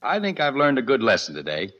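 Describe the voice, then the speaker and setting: An actor playing a calm, good-humored father in a 1950s radio sitcom, relaxed and reassuring. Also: mid-range voice, a little fast, muffled recording.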